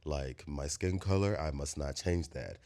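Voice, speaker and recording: A man's voice: very deep voice, measured pace, mellow. A man from Nigeria with a Nigerian accent, hosting a reflective, preachy spiritual monologue with emphatic bursts. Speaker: host.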